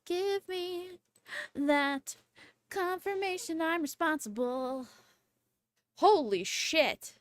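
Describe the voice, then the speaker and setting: A woman in her twenties chatting casually on a livestream in a rambling, playful, excitable and slangy way. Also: very high voice, extremely slow, long pauses, no echo. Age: 20s. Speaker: livestreamer, VTuber host.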